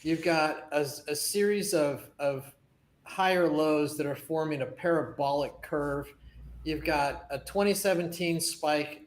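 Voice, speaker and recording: A man's voice: fairly high pitch, echoey room. A man on a crypto panel with a dry, joking delivery. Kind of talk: casual livestream chat.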